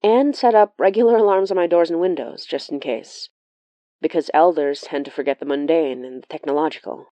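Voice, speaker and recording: A woman's voice: deep voice, a little fast, warm tone, no echo, close to an everyday voice. A woman playing a wry, watchful sorcerer who narrates a horror audio diary in a confiding, matter-of-fact way.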